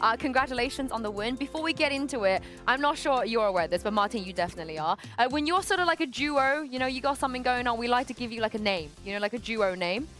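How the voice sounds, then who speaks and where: Bubbly female desk host with a bright, high voice. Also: expressive, quick pace, few pauses, soft background music. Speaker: show host. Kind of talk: esports desk show hosting.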